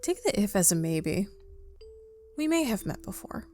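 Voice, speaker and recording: A woman voicing a calm, evasive, quietly menacing stranger in a visual novel. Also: medium pitch, moderate pace, soft background music, natural voice. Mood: evasive, cryptic. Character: calm, cryptic, menacing stranger messaging at night. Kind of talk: visual novel character read-aloud.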